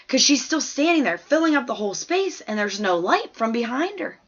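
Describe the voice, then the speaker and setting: A woman's voice: lowish voice, pushed and bright. A woman voicing a plain-spoken, observant fourth-grade girl who tells her own story in a chatty, folksy, emphatic way. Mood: wondering, earnest. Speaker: audiobook narrator. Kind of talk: scripted first-person story narration. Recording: slightly dull, lo-fi audio.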